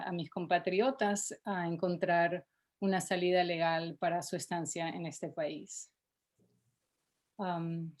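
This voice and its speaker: A woman from Venezuela with a Venezuelan accent, a nonprofit director hosting a webinar and moving between Spanish and English with frequent fillers. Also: lowish voice. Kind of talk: bilingual webinar introduction.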